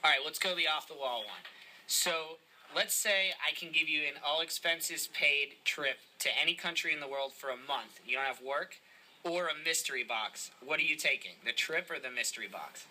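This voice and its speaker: A man's voice: a little high, normal speed, voice pushed up, poor audio. A man putting on a Massachusetts accent to play a brash, overly chummy prank caller who calls himself D-Spin. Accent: put-on Massachusetts. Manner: cocky, overly chummy, gets loud and indignant. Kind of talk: prank phone call. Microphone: recorded from a phone on speaker.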